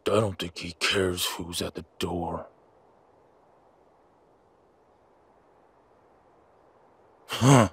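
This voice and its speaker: A man playing a slow-witted, menacing henchman guarding his boss in an audio roleplay, with a gruff, raspy voice and blunt, halting speech. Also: sits low, heavily acted. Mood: irritated. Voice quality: raspy, gravelly.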